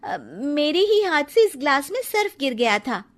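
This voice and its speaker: A woman voicing a young, modern Indian daughter-in-law in a Hindi cartoon story, pleading and quick to protest. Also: high-pitched, big pitch swings. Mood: pleading explanation.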